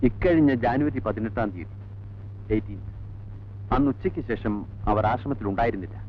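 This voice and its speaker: A formal, guarded head monk played by a male actor in an old film drama. He speaks in a measured, officious manner at a middle pitch. The soundtrack is thin and tinny, with little top or bottom. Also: animated, a little hiss or hum, close to an everyday voice. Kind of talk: 1980s film drama acting.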